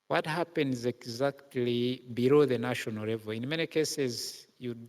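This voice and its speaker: A Ugandan man moderating a conference panel, speaking slowly with frequent hesitant pauses. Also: mid-range voice. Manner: slow and halting, many filler pauses. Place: large conference hall. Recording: hall reverb.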